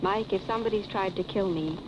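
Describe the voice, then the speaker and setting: An actress playing a poised, wry young wife in 1940s film style, cool and arch in manner. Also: female voice, mid-range voice, fast talker, background noise, natural voice. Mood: composed, teasing. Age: young adult. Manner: cool, arch, composed irony. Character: wry, poised young wife attending her own funeral. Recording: dull old film soundtrack with music.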